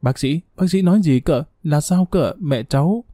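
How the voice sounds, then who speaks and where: A man's voice: fairly high pitch, animated, brisk, dark tone, no echo, clean studio sound, lightly acted. A man voicing a frantic young pregnant woman begging for her dying mother, pleading and choked with tears. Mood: sobbing, pleading.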